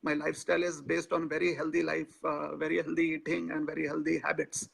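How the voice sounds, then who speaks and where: A man, speaking as a guest in a live interview, pausing often with filler sounds. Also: a little high, monotone, vocal fry, fairly bright tone, slightly rough. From India. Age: 40s. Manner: hesitant, many filler sounds.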